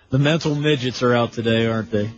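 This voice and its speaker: An American man hosting a live radio call-in show in a folksy, exasperated, scolding way. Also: mid-range voice. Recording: dull lo-fi radio broadcast.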